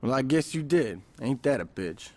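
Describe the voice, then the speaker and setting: Easygoing gang lieutenant, mid-pitched man acting. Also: expressive, normal speed, a little mellow, lightly acted. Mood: businesslike. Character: street gang strategist, easygoing, businesslike lieutenant. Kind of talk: video game cutscene acting.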